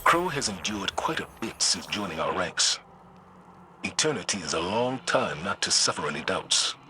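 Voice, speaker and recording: A grave military leader with a lowish voice and a formal, measured authority. The delivery is dramatic video game acting, with music clearly playing underneath. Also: male voice, very animated, pushed and bright, exaggerated voice. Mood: solemn.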